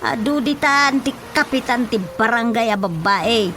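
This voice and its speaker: A woman's voice: a little high, lively intonation, unhurried, fairly bright tone, a little hiss or hum. A woman playing a feisty, easily panicked village office worker in a comic radio drama, exclaiming expressively. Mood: uneasy muttering. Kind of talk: radio drama comedy acting.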